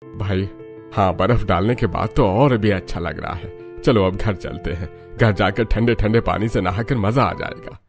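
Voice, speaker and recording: A man's voice: on the low side, moderate pace, faint music behind, close to an everyday voice. A man voicing hearty, plain-spoken older village men in a cartoon story, with emphatic delivery. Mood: pleased, hearty. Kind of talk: Hindi cartoon story dialogue.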